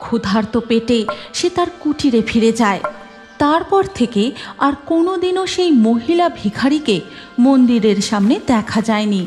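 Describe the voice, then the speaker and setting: A woman reading scripted cartoon story narration in an expressive, dramatic way. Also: on the high side, moderate pace, faint music behind.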